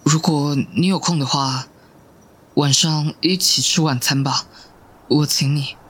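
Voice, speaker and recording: Shy, awkward schoolboy. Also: male voice, on the high side, steady tone, measured pace, a bit bright, husky, rough recording. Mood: earnest concern. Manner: hesitant, stammering, eager. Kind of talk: radio drama acting. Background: faint music.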